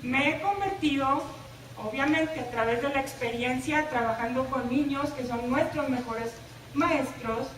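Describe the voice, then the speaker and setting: A woman's voice: high voice, measured pace, fairly bright tone, slight background noise. A woman from the Laredo border with a northern Mexican Spanish accent, giving an interactive talk to teachers and often asking them "¿verdad?". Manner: engaging, asks the audience questions, tag "¿verdad?". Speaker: presenter at a teacher workshop. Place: lecture room. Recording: echoey room, from far off.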